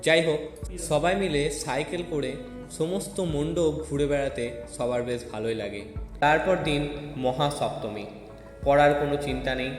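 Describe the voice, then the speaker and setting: Male narrator for scripted first-person fiction, mid-pitched, echoing long reverb, soft music bed. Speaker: story narrator. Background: faint music.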